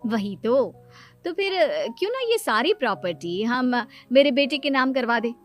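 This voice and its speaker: Woman acting a coaxing, higher-voiced young mother. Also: sing-song, a little slow, faint music behind. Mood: coaxing, insistent. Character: scheming, persuasive young mother and sister-in-law. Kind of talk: cartoon moral story acting.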